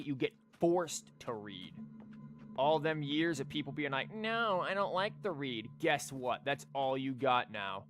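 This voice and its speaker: A man's voice: medium pitch, fairly bright tone. A man livestreaming a game with casual, rambling commentary and a sarcastic, wry manner.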